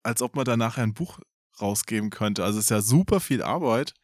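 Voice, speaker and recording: A man's voice: lowish voice, animated, a little fast. A man hosting a podcast, chatting casually as he interviews guests.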